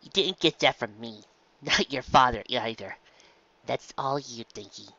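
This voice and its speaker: A woman's voice: extremely low pitch, measured pace, sharp tone, dry recording, lo-fi sound, heavily acted. A woman voicing a loving, protective pegasus mother in a story, speaking in a tender but firm maternal way. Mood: humble, loving.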